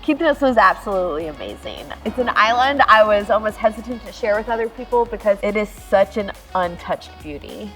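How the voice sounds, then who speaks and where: A woman's voice: medium pitch, lively intonation, brisk, few pauses, fairly bright tone, faint music behind. A woman from the United States chatting enthusiastically in a travel vlog, laughing easily, recorded outdoors at a harbor. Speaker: vlogger. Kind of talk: casual travel vlog talk.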